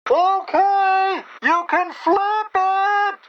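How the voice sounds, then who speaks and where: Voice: very high voice, fairly even intonation, extremely slow, heavily acted. An actor playing a timid, nervous little robot helper in a video game, with a slightly muffled, noisy sound. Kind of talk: animated video game character dialogue.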